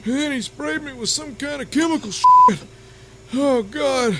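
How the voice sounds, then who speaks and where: A man's voice: extremely high pitch, expressive, a little slow, full character voice, rough recording. A man playing a whining, foul-mouthed victim, wailing melodramatically in a comedic audio serial. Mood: anguished outrage.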